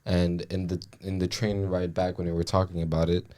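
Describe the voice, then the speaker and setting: A young man born in France talks in a very deep voice. He is reflective and hesitant in a casual podcast chat and fills his pauses with uhs. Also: little pitch movement, mellow. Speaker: podcast co-host. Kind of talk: casual conversation.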